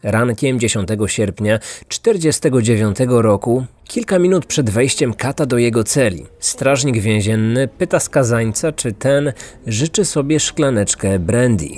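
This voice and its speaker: A man hosting a podcast, reading scripted true-crime narration like a measured storyteller. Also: medium pitch, expressive, darkish tone. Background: faint music.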